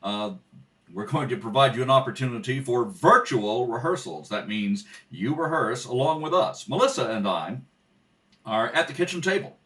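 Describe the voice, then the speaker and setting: A man's voice: mid-range voice, animated, moderate pace, a bit bright. A man informally introducing a theatre rehearsal, recorded at a kitchen table on one shared microphone. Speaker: community theatre rehearsal leader. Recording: distant shared home microphone.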